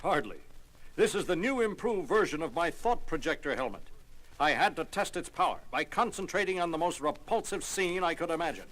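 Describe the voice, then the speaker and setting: A man playing a calm, articulate, patronizing scientist who leads a hero team in a comic-book audio drama. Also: fairly high pitch, brisk, poor audio. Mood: smug.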